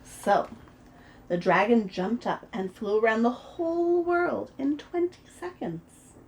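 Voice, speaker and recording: A woman reading a children's picture book aloud, gentle and expressive as a preschool storyteller. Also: a little high, slow pace, slight background noise, low-quality recording. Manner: gentle and expressive, voices the characters.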